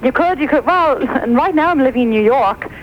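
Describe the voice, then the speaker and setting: A woman's voice: high voice, lively intonation, fairly quick. A woman with a Dublin Irish accent calling a radio show, chatting casually in an earnest, hesitant way. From Dublin, Ireland. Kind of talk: casual phone chat. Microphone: telephone. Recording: muffled noisy phone line.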